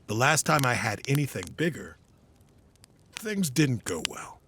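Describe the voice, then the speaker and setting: An actor playing a seasoned, burdened hero knight commander in an audio drama, speaking earnestly and with grave authority. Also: male voice, mid-range voice, big pitch swings, a little slow, sharp tone, a little hiss or hum. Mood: humble, burdened. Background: dramatic background music.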